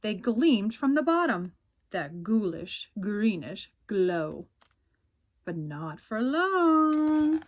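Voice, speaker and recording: A woman reading a children's picture book aloud as a storyteller, in an expressive, dramatic way with drawn-out suspense. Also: medium pitch.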